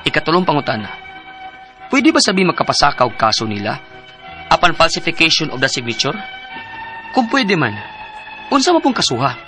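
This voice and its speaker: An earnest, troubled husband in his thirties with a Cebuano Filipino accent. His bright voice moves up and down expressively in radio drama style, over music. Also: fairly high pitch, wildly swooping pitch, fairly quick, long pauses, full character voice. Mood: worried, pleading. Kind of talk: radio drama acting and letter narration.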